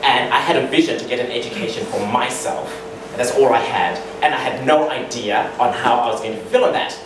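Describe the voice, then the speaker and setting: A man's voice: mid-range voice, fairly quick. Young man from Zimbabwe, earnest storyteller, distant echoey hall recording, audible noise. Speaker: lawyer pitching to a startup meetup. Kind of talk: live stage talk with handheld mic. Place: large dark event hall, on stage. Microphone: handheld mic through PA, filmed from far.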